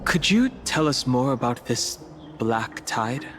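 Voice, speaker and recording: A man playing a thoughtful, analytical companion in a fantasy game, speaking in an earnest, measured way. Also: mid-range voice, lively intonation, a bit bright. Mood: concerned. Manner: measured, reflective. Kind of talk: dramatic game dialogue. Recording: long reverb, music behind.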